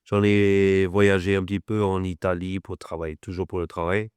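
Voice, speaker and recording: Man, German accent, low voice, clear, dry sound, teasing chef. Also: level tone, normal speed, darkish tone, no room sound. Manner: teasing, storytelling. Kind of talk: casual chat.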